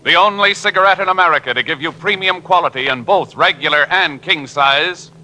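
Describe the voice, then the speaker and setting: A man's voice: on the high side, brisk, rough recording. A man reading radio commercials from the 1950s with emphatic, punchy salesmanship. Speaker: commercial announcer.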